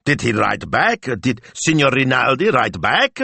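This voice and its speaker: A man voicing an excitable, anxious shopkeeper with a flustered, urgent, over-polite way of talking in an audiobook. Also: on the low side, a little slow, gravelly, breathy edge, dry recording, voice pushed up, exaggerated voice. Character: excitable, anxious, fussy male Italian shop owner.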